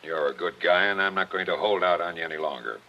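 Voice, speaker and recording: Give me a shrewd police captain with a lilt, blunt and wry, who presses every point. It sounds like a muffled, dull old radio broadcast. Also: male voice, sits low, quick pace, bright, forceful tone. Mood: pressing. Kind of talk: 1940s radio drama acting.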